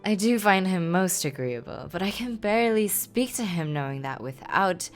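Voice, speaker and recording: A woman's voice: mid-range voice, expressive, normal speed, close to an everyday voice. A cheerful young Regency lady, voiced by a woman reading game dialogue. She is romantic and politely genteel in her phrasing, with music playing underneath. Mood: polite, earnest.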